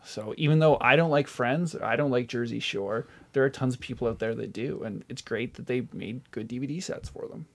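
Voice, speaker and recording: A man's voice: medium pitch, brisk, creaky, few pauses. A man chatting casually as a podcast guest, informal and jokey. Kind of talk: casual chat, answering quick-fire questions.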